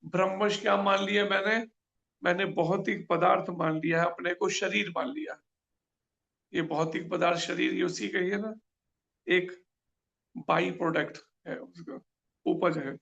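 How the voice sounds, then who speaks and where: Gravelly high-voiced preacher. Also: male voice, level tone, unhurried, pauses often, fairly bright tone, dull audio. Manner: earnest, rhetorical questions. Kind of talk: scripture class.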